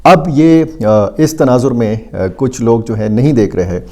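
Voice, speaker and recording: Mellow, halting Pakistani male news commentator. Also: medium pitch, expressive. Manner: halting, deliberate, with frequent drawn-out hesitation sounds. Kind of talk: unscripted news analysis, explaining to viewers.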